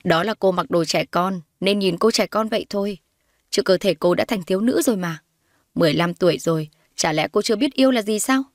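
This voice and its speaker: A woman's voice: medium pitch, fairly quick, no room sound, natural voice. A haughty middle-aged matriarch played by a woman narrator in an audio drama. She is cold and scornful, sneering her accusations, and the sound is close and dry.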